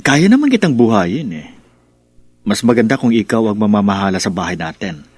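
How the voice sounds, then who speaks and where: A husky, low-voiced devoted young husband. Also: male voice, big pitch swings, brisk, a little mellow, no room sound. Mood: affectionate. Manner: soothing, affectionate. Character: devoted young husband, reassuring, proud provider. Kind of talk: melodramatic radio drama acting.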